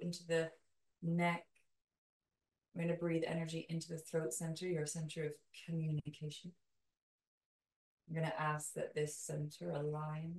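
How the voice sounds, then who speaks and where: A woman leading a guided meditation in a soothing, calming way, with slow, deliberate phrases and long pauses. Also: deep voice. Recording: roomy echo, distant from mic.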